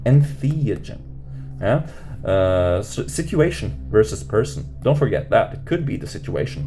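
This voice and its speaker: A man teaching meditation off the cuff, rambling with many fillers and restarts and lifting his pitch at the end of questions. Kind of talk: unscripted teaching talk. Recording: music playing under voice. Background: guitar music.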